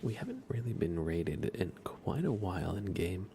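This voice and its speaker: Male ASMR gaming streamer: very low, fry-heavy voice, soft and unhurried, musing commentary. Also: sing-song, a little hiss or hum. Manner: hushed, unhurried, musing aloud. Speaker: live streamer.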